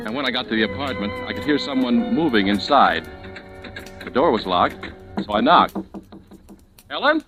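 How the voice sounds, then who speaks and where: Man acting anxious young brother, animated old-radio delivery. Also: medium pitch, close to an everyday voice. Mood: startled, worried. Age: young adult. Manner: plain-spoken, halting, colloquial narration. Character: anxious, protective young Californian brother telling his story. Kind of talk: 1940s radio drama acting. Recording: vintage radio broadcast with music underneath.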